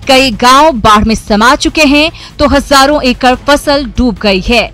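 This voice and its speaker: A woman reading a scripted news voice-over in a measured, formal way. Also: high voice, a bit bright. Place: studio voice-over.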